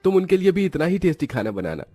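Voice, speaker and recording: Guy doing a young cartoon husband, earnest n emphatic, voice pushed up high, bit husky. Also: big pitch swings, quick pace, mellow, dry recording, faint music behind. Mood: friendly, instructing. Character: earnest young husband defending his wife.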